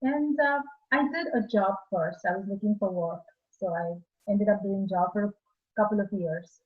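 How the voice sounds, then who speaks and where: Indian-accented woman on a video call. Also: mid-range voice. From India (Maharashtra). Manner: measured, explanatory, slightly halting. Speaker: guest architect. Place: small room indoors. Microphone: earphone mic on video call. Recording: slightly dull video call audio.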